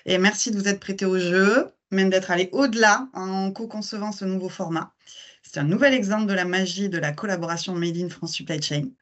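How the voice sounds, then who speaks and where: A woman's voice: medium pitch, fairly quick, a bit bright. A woman interviewer reading formal, scripted questions and introductions in a polished way.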